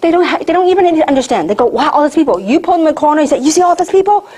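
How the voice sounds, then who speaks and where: A woman's voice: sits high, lively intonation, very fast, darkish tone. A middle-aged woman from Hong Kong giving a motivational training talk, emphatic and repetitive, firing rhetorical questions at the audience. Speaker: network marketing leader.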